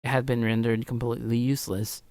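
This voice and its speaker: A mid-pitched man reads visual novel narration aloud for a livestream, with music behind him. He stumbles and rereads phrases, keeping a casual streamer's delivery. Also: little pitch movement, fast talker, a little mellow, no echo. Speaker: livestreamer doing a let's play. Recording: low-quality stream audio, music behind.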